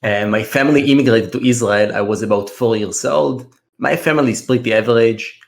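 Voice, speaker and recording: A man's voice: medium pitch, a little fast, vocal fry. A man in his thirties from Russia with a Russian accent, telling his life story earnestly as a guest on a casual trading podcast. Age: 30s. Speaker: trader and mentor, podcast guest.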